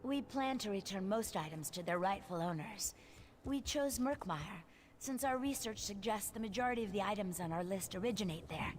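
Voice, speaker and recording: Actress as female expedition organiser, medium pitch, earnest, articulate, purposeful game dialogue, slight background noise. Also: expressive, normal speed, natural voice. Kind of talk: video game character dialogue.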